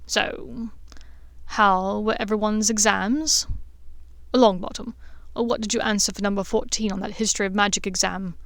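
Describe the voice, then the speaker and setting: A woman's voice: mid-range voice, steady tone, moderate pace, gravelly, a bit bright, no echo. Lady reading a smug teen wizard boy, casual taunting storybook voice, dry close sound. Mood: mock casual. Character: smug drawling teenage wizard boy, mocking.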